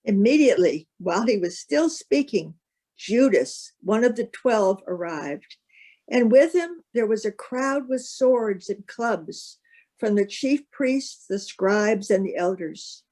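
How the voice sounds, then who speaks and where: A woman reading scripture as narrator, in a measured, formal way. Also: medium pitch, animated, warm tone.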